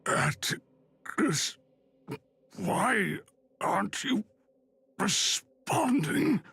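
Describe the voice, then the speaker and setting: A man playing a frail, grateful old chaplain in a video game, slow and halting, with a weak, raspy, creaky voice. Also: high voice, very animated, fairly bright tone, dry recording, full character voice. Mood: feeble, searching. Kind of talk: video game character acting.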